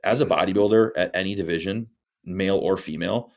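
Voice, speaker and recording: A man's voice: low-pitched, fast, vocal fry. A man in his twenties, a bodybuilding coach, chatting casually on a podcast and often asking "you know what I'm saying". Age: 20s.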